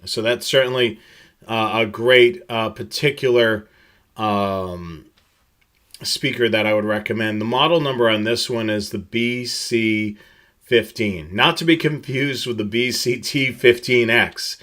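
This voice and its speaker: A man gives an explanatory product presentation in a bright, forceful voice of medium pitch. He walks through details in a steady, instructional manner, halting now and then as he goes. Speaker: presenter of a live product tutorial. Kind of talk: semi-scripted slide presentation, explanatory product rundown.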